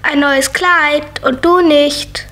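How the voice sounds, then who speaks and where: Very shrill, boastful little girl. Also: fairly even intonation, takes it slowly, pushed and bright, soft background music, full character voice. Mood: gloating. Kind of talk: children's radio play acting.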